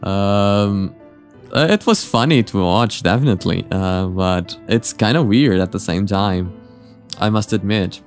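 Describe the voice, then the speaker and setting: A man's voice: lowish voice, measured pace, faint music behind. A man hosting a reaction video with casual, rambling commentary, hesitant and often repeating his words.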